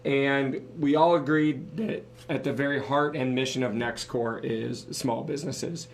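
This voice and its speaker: A man's voice: medium pitch, steady tone, unhurried, a little hiss or hum. A man co-hosting a live podcast, losing his voice, talking casually and cracking jokes. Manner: joking, loose, rambling. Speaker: podcast co-host.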